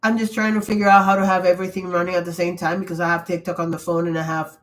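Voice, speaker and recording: A woman's voice: fairly low pitch, flat delivery, rapid-fire. Sick woman losing her voice. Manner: rambling, emphatic, restarts often. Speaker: livestream host. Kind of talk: casual livestream commentary. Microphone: computer mic.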